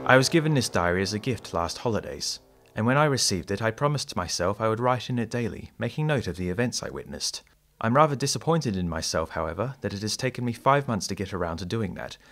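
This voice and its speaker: A polite, earnest male diarist played by a man tells an adventure in an audio drama. His voice sits fairly low and his phrasing is formal and storybook-like. Faint music plays along. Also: fairly bright tone. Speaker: narrator and character. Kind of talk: audio drama diary narration.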